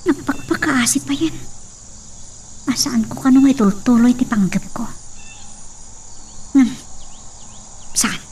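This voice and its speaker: A woman's voice: medium pitch, darkish tone, breathy edge, far from the mic. Vengeful young Ilocano woman, acted, off-mic. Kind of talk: Ilocano radio drama acting.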